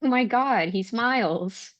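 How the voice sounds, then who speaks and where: A woman's voice: on the high side, a little slow, a bit bright, no room sound, muffled recording. An actress playing a kind, upbeat young woman in a naturalistic script read, cheerful and earnest with some hesitancy. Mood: hopeful, warm.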